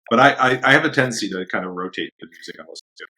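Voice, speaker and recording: A man, a dog trainer, chatting casually as a podcast guest in a relaxed, rambling way, laughing often. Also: lowish voice, racing, poor audio. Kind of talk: casual interview chat.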